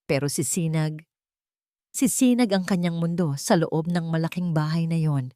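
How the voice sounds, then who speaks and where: Woman narrating a drama, low-pitched. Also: dark tone, no room sound, studio quality. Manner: measured, emotive storytelling with short phrases. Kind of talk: scripted dramatic story narration.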